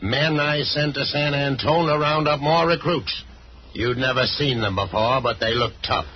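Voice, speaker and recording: A man's voice: medium pitch, normal speed, natural voice. A man playing a menacing outlaw boss, old radio. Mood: cold accusation. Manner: menacing, commanding, accusing. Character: ruthless, vicious male outlaw boss plotting a takeover. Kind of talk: old-time radio western acting. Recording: dull, noisy old radio recording.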